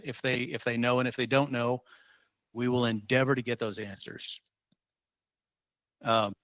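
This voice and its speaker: A man's voice: medium pitch, pushed and bright, no echo. A man from Utah, a state official leading a public meeting, talking informally with many ums and rambling asides.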